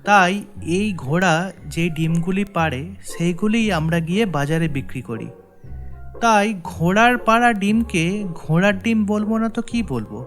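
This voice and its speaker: A man's voice: high voice, lively intonation, normal speed, fairly bright tone, faint music behind. A man voicing a humble, aggrieved village husband in a cartoon, speaking in a deferential, earnest, pleading way. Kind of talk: cartoon voice acting.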